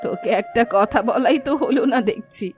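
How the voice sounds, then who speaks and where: Lady doing a kind old village granny in a cartoon, fairly high voice, affectionate, music clearly behind. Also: fairly quick, close to an everyday voice. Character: kindly older village grandmother, contented. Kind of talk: cartoon voice acting.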